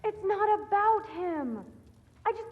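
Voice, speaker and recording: A woman's voice: very high-pitched, lively intonation, slow pace, big-room sound, phone-quality sound, exaggerated voice. An actress playing a teenage girl who argues with her mother in a pleading, resentful way.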